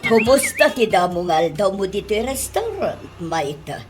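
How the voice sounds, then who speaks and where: A woman's voice: medium pitch, big pitch swings, normal speed, lightly acted. A woman with an Ilocano accent playing an older auntie who runs a restaurant, stern but kindly, in a comedy radio drama. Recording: music bed under radio drama dialogue.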